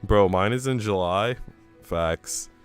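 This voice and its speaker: A man with a low, smooth voice works as a game streamer, reading a visual novel aloud and chatting casually around it. His delivery is clean and crisp, with music playing behind him. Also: takes it slowly. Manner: chatty asides between narrated passages.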